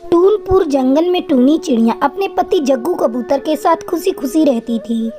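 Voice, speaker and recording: A woman's voice: high voice, lively intonation, moderate pace, over music. A woman narrator reading a scripted children's cartoon story.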